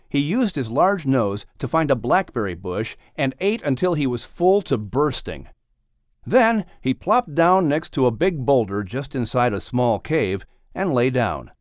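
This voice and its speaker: A man reading a children's adventure audiobook as narrator, in an expressive scripted storytelling style. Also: medium pitch, dry recording, studio quality.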